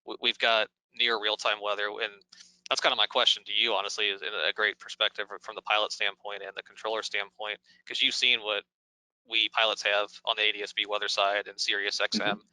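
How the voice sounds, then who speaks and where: A man's voice: fairly low pitch, flat delivery, brisk, bright, forceful tone, smooth, dry recording, poor audio. An American man hosting a Q&A as a pilot and instructor, talking in an informal, wordy, instructive way. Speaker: host, pilot and flight instructor. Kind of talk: conversational Q&A hosting.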